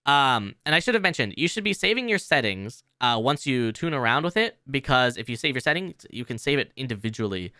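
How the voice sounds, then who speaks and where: A man hosting a casual software tutorial. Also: mid-range voice, very animated, brisk, hardly any pauses, no echo, studio quality. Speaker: tutorial host.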